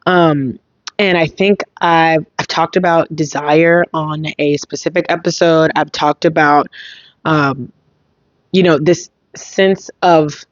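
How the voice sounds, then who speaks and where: A young woman hosting a casual solo podcast, thinking aloud in a reflective way, her voice slightly hoarse. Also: deep voice, steady tone, takes it slowly, dry recording, muffled recording. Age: young adult. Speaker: podcast host.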